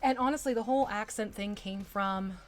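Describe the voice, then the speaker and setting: A woman's voice: medium pitch, moderate pace. A woman from Texas chatting casually on a livestream as she paints miniatures, rambling with asides and little laughs. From Texas, USA. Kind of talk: casual chat while working.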